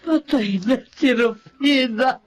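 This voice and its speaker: An actress playing an older, remorseful woman in mourning in an emotional radio drama, speaking in a halting, heartfelt way. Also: high-pitched, a little hiss or hum. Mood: anguished. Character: grieving, remorseful old woman mourning her longtime rival. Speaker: radio drama lead actor. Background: music.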